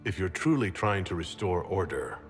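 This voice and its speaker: A man playing a wary noble soldier in a fantasy game, questioning and skeptical, with a husky voice. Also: deep voice, very animated, moderate pace. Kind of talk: dramatic fantasy video game acting. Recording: reverberant hall, music underneath.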